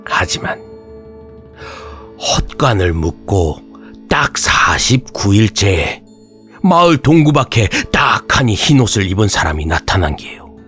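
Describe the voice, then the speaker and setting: A man telling a scripted horror folktale as an old-fashioned raconteur, with dramatic pauses and archaic turns of phrase. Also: on the low side, sharp tone. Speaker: horror radio storyteller.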